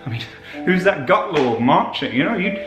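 An actor with a British accent playing an awkward, earnest man with a hesitant deadpan manner in screen comedy. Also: fairly high pitch, normal speed, over music.

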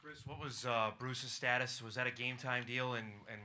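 A man's voice: mid-range voice, fairly even intonation, moderate pace, pushed and bright, slight background noise. A man, a reporter asking a coach direct questions at a press conference from the floor of the room.

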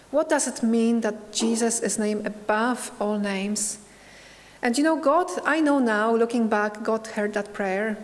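A middle-aged Polish woman speaks at a church pulpit, her slightly high voice earnest and searching. She pauses and restarts as she tells her testimony. The hall around her rings with a long reverb. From Poland. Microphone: pulpit microphone.

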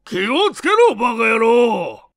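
A male narrator puts on an eccentric, cantankerous old scientist from a mystery audiobook. He is gruff and curt, with a fairly high, very animated pitch and a close, dry sound. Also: slow pace, no room sound, exaggerated voice. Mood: explosive anger. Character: cantankerous, eccentric older male professor who scolds. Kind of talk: audiobook character acting in Japanese mystery story.

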